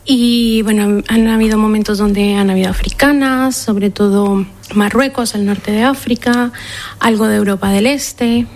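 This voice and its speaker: A woman with a Colombian accent speaks in a mid-range voice, calm and earnest. She answers slowly and carefully, often restarting her sentences as she thinks. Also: mid-range voice, slight background noise. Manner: earnest, measured, with repeated restarts. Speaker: director of a women's shelter, interview guest. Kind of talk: interview answers.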